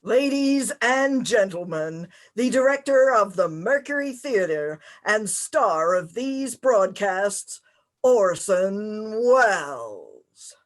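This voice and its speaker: Formal 1930s radio announcer, acted in a live reading. Very slow, deliberate, composed, mid-range voice. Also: medium pitch, expressive. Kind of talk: old radio announcing, scripted.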